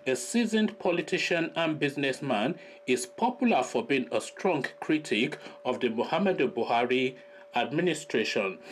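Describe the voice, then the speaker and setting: A man's voice: fairly high pitch, a little hiss or hum, poor audio. A man hosting a commentary video, reading headlines aloud in a mocking, sarcastic tone. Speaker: host.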